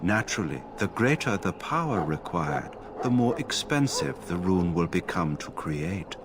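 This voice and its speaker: A man playing an emotionless mage in a fantasy game, speaking in a formal, detached, measured manner. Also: deep voice, big pitch swings, noisy background. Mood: detached calm. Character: emotionless branded male mage, calm enchanter stripped of feeling. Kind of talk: video game dialogue. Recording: game music loud behind the voice.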